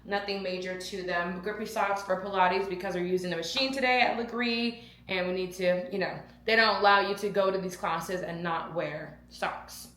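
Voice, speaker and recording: A woman's voice: medium pitch, steady tone, moderate pace, hardly any pauses, a bit bright, roomy sound, poor audio. A young woman chatting casually to camera in a lifestyle vlog, talking to her viewers and to herself and repeating words for emphasis. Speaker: lifestyle vlogger.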